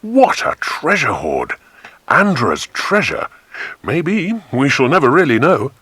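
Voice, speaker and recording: A man's voice: fairly high pitch, wildly swooping pitch, slow, bright, forceful tone, slightly rough, no room sound, voice pushed up, full character voice. A man voicing a brave, hearty grown man who leads children on an adventure in a storybook, speaking emphatically. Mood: awed, thrilled.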